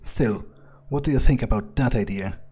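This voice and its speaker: Mellow, aloof male gamer in character. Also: medium pitch, moderate pace, husky. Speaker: gamer roleplaying his character. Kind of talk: in-character video game commentary, musing aloud. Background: faint game music.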